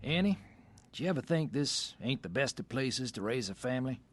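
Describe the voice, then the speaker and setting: An actor playing a gruff, stubborn country father and moonshiner with a rural Southern US accent, in an old-time radio drama. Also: medium pitch, expressive, fairly quick, dry recording, faint music behind, lightly acted. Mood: troubled. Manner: folksy, plain-spoken, drawling rural grammar.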